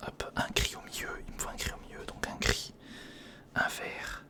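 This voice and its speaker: Hushed whispering ASMR builder. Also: normal speed. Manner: muttering short step-by-step remarks, informal, addressing the viewer directly. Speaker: ASMR video creator and host.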